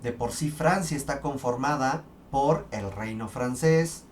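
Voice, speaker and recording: A man's voice: mid-range voice, measured pace. A man giving a recorded history lesson, explaining step by step with fillers and restarts. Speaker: history lecturer. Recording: distant mic, faint background noise.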